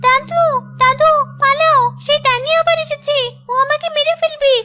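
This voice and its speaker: Woman playing a very high-pitched innocent little fairy girl. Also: steady tone, normal speed, loud music behind the voice. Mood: frightened. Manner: childlike, earnest. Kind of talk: cartoon fairy-tale acting.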